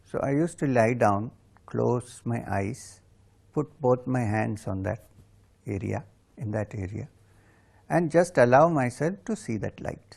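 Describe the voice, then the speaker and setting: A man and spiritual teacher, whose home language is Urdu, calmly recounting his own life story with measured pauses. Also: mid-range voice, vocal fry.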